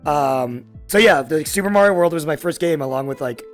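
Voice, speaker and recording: A man in his thirties with a raspy voice, chatting casually and in a rambling, halting way as a podcast host. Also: fairly high pitch, lively intonation, faint music behind. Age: 30s.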